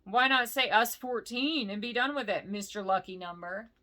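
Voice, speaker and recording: Old wily storybook dragon, male, booming and gloating, bright edge, read by a woman. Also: moderate pace, natural voice. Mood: mocking. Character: huge ancient boastful male dragon, wicked and wily.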